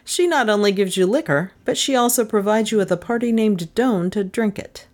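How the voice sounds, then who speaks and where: A woman voicing a casual, wry male detective in a crime story, with a brisk, bossy manner. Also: mid-range voice, a little mellow, voice pushed up, close to an everyday voice. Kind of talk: storybook character voice in crime fiction.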